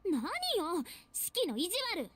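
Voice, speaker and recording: A woman's voice: extremely high pitch, huge pitch swings, moderate pace, full character voice. A woman playing a huffy, haughty young vampire woman in a Japanese visual novel, curt and pouty. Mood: pouty, offended.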